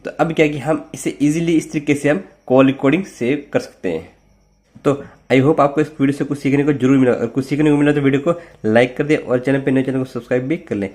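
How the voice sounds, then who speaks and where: A man's voice: medium pitch, brisk, a little mellow. A man with an Indian accent hosting an informal tech tutorial, explaining each step in an instructional, repetitive way with frequent check-in tags. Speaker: host of a tech tutorial channel.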